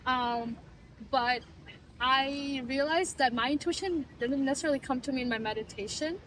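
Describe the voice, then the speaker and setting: A woman's voice: high-pitched, moderate pace, a bit bright, far from the mic, slight background noise, low-quality recording. A woman in her twenties from Bangladesh, talking earnestly and reflectively in a casual interview and laughing easily. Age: 20s. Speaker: client giving a testimonial.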